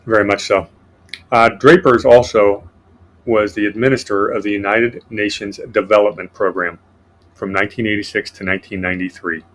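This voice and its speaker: A man's voice: lowish voice, fairly even intonation, brisk, a bit bright. A man hosting a casual political podcast, reading from his notes in a sardonic way. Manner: sardonic, reads notes aloud. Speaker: podcast host.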